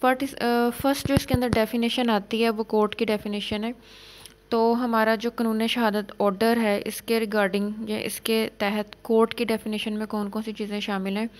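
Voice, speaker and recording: Woman teaching law, pretty monotone, reads clauses out slow then explains. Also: a little high. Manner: explains legal text in Urdu, reads English clauses slowly. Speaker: law lecturer. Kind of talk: exam-prep law lecture.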